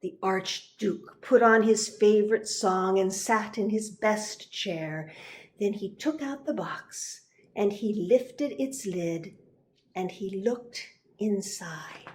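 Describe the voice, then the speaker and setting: Woman, storybook narration, unhurried pace, mid-range pitch, expressive, deliberate pauses. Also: slight background noise. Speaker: reverend reading a picture book.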